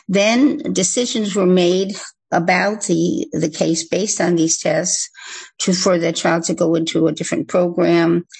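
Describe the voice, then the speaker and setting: Woman board member, husky with vocal fry, measured case summary. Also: on the low side, a little mellow, poor audio.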